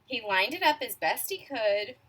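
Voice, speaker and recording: A high, bright female voice reads a children's story, swooping all over her range. She stops to quiz her young listeners and sometimes drops to a near whisper for suspense. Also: moderate pace, poor audio. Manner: expressive, asks young listeners questions. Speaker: storyteller reading a picture book. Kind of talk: children's read-aloud.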